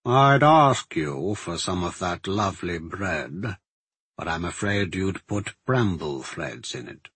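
A man voicing a smug, powerful magister in an audiobook, mockingly courteous, with a measured, sneering manner. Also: low-pitched, lively intonation, a bit bright, husky, no echo, voice pushed down, full character voice.

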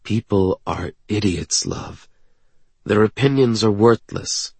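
A man voicing an intense, protective young male lover in an audiobook, tender but guarded, earnest and measured. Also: fairly low pitch, vocal fry, breathy edge, dry recording, exaggerated voice. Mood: indignant. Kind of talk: audiobook dialogue acting.

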